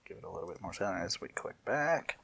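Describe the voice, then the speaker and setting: A man's voice: low-pitched, expressive, brisk, vocal fry, fairly bright tone, breathy edge. A man hosting a casual comedic story reading in a jokey, informal manner. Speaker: fan fiction reader and host.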